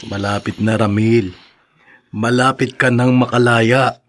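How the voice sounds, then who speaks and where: Guy acting supportive buddy in a radio drama, average pitch, earnest and encouraging. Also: fairly even intonation, unhurried, fairly bright tone, natural voice. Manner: earnest, reassuring. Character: loyal, encouraging male friend of a prisoner.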